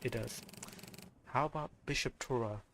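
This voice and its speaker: A mysterious, grave caller, read aloud by a man who speaks in solemn, measured phrases. The voice is gravelly and sits at an ordinary middle pitch. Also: a bit bright, slight background noise, natural voice. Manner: solemn, measured, reading game dialogue aloud.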